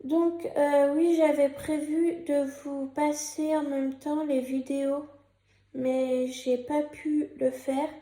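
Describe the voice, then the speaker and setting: A woman's voice: high-pitched, flat delivery, takes it slowly, warm tone, reverberant. A young woman with a cold and a sore throat, talking in a hesitant, casual way with many fillers and restarts, partly reading from a script. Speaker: YouTuber. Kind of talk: casual vlog commentary, partly read from a script.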